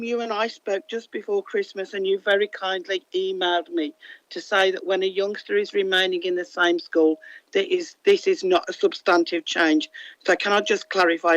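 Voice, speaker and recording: A woman who is a special school head, with a croaky, hoarse voice, asking friendly, direct questions in an online meeting. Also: fairly low pitch, no room sound. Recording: dull, dry online call audio.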